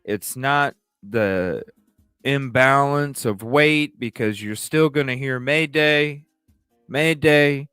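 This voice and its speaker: A man's voice: mid-range voice, takes it slowly, a bit bright, clean tone, dry recording, studio quality, faint music behind. A man hosting a livestream with casual, speculative commentary, repeating phrases and asking rhetorical questions. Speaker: livestream host.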